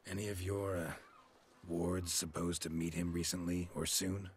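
An actor playing a gruff, middle-aged monster hunter in a video game, with a clipped, blunt, deadpan delivery. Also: male voice, low-pitched, normal speed, slight background noise, close to an everyday voice. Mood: curt, businesslike. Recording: music playing behind.

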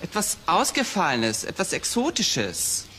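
Sarcastic film make-up artist, low voice with big theatrical swoops, children's audio drama. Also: female voice, huge pitch swings, measured pace, a bit bright, slight background noise, heavily acted. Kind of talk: German children's radio drama acting.

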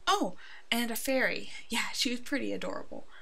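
A woman voicing a brave, protective werewolf in an ASMR roleplay, soothing and halting, with a soft, hushed delivery. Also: a little high, animated, vocal fry, exaggerated voice. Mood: friendly chatter.

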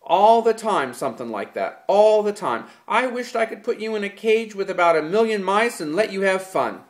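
A man voicing an angry, exasperated ranch hand who rants loudly and bitterly. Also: mid-range voice, lively intonation, normal speed, natural voice. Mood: furious. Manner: loud, bitter ranting.

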